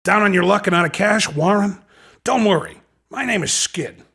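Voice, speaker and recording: A young, cocky hoverboard race promoter for a video game. His high voice crackles with gravelly fry and moves around in lively swings. He talks in upbeat, slangy sales patter. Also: normal speed, exaggerated voice. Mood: friendly, hustling. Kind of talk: scripted video game character dialogue.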